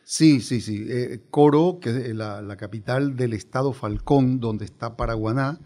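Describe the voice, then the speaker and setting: A man's voice: medium pitch, unhurried, dark tone. An older man with a Venezuelan accent, telling stories as a guest in a conversational interview. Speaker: interview guest, biographer and former politician.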